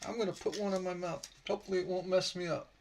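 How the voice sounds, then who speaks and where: A man teaching stained glass on a casual live stream, slightly hoarse from a sore throat, relaxed and rambling with many fillers. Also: high-pitched, fast. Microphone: computer mic on a live stream.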